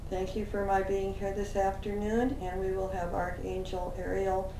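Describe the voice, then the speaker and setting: A woman's voice: medium pitch, completely flat delivery, warm tone, slight background noise. A woman channeling a spiritual message for a group in a meeting room, speaking in an earnest, uplifting way and drawing out words. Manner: slow, earnest, uplifting delivery with drawn-out words. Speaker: channeling medium. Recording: roomy room sound from a distant mic.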